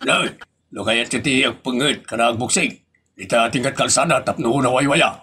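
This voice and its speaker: A man's voice: mid-range voice, brisk, bright, forceful tone, slight background noise. A man voicing a grumbling middle-aged husband and father in a comedic audio drama, scolding and teasing his family.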